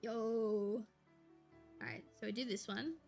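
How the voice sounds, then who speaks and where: A young woman, chatting casually and rambling to viewers on a live stream, with a slight gravel in her voice when she talks quietly. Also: fairly high pitch, fairly even intonation, takes it slowly, soft background music. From Australia. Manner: chatty, rambling, laughs easily, thinks aloud.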